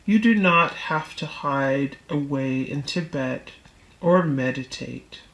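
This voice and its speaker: A woman reading a self-help book aloud as scripted narration, in a deliberate, measured manner. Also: rumbling low, a bit bright, low-quality recording. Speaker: audiobook reader.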